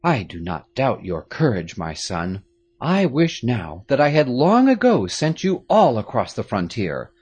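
A man's voice: mid-range voice, wildly swooping pitch, unhurried, darkish tone, slightly rough, voice pushed up, exaggerated voice. A man voicing a stern, commanding aristocratic French father in an audiobook, speaking firmly. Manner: firm, authoritative. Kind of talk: audiobook character reading.

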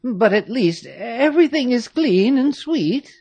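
A narrator voicing a fussy, fiercely loyal old woman who once nursed the family, high-pitched and chattering in exclamations. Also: very animated, a little slow, a little mellow, slightly rough, dry recording, muffled recording, heavily acted. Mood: fussing, warm. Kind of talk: audiobook character reading.

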